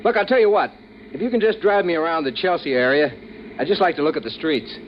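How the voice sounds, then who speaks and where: A man's voice: a little high, fast talker, natural voice. An American man playing a seasoned licensed hunter in an old-time radio drama, businesslike and coolly eager, with clipped, matter-of-fact delivery. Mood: casual, confident. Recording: muffled, noisy vintage radio broadcast.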